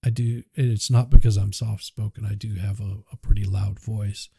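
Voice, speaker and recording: A male livestream host talks in an unhurried, chatty way, half to himself as he works. His voice is heavily gravelly and a bit husky. The sound is dry and close, through a cheap USB microphone. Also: lowish voice, flat delivery, mellow, no room sound. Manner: unhurried, chatty, mutters while working. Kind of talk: casual livestream chat while adjusting audio. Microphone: cheap USB mic.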